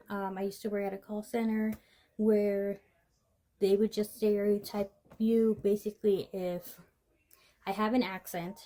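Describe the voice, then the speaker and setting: A woman speaks in a mellow, relaxed tone, answering interview questions conversationally. She pauses with ums and restarts her sentences as she goes. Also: medium pitch. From United States. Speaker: medical assistant interviewee.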